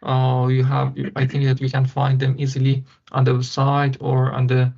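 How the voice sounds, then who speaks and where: A man hosting a webinar, introducing events and speakers haltingly with many filler sounds over a video call. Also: mid-range voice, monotone, gravelly, a little mellow. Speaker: webinar host. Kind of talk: hesitant event moderation. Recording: dull lo-fi web call audio.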